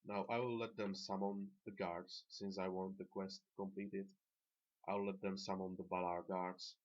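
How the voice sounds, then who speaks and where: A man who is not a native English speaker, narrating an instructional video game guide in a measured, explanatory way. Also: low voice, little pitch movement. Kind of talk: instructional gameplay commentary. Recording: dull, lo-fi microphone sound.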